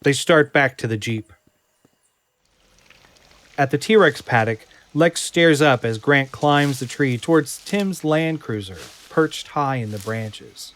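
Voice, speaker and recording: A man's voice: mid-range voice, fairly bright tone. A man reading a screenplay as dramatic scripted narration. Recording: music behind the voice. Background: prominent music.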